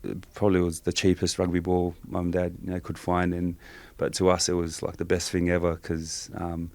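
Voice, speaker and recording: A Samoan-born man, a Samoan speaker, answering interview questions softly and hesitantly with many fillers. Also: low-pitched, completely flat delivery, a little fast, dry recording. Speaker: guest.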